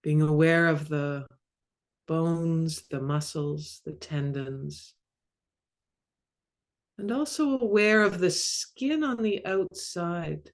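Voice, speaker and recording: Very slow-paced woman guiding meditation. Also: sits low. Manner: calm, measured, with long pauses between short phrases. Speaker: mindfulness coach and meditation guide. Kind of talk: guided body scan meditation.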